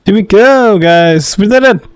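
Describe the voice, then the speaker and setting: High-voiced man doing casual gaming commentary. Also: animated, measured pace, clean tone, no echo. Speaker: gaming video host. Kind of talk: casual let's play commentary.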